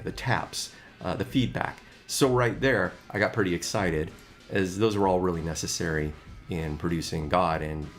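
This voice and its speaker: A middle-aged man talking casually about his music gear, chatty and a bit rambling. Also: sits low.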